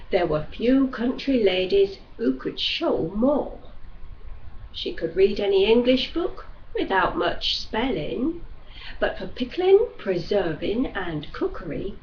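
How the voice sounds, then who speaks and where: Woman reading an old novel aloud, slowish pace, very animated intonation, bit of background hiss on a homemade sounding recording. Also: medium pitch. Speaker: audiobook reader. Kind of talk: literary narration of a classic novel. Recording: dull lo-fi recording with faint noise.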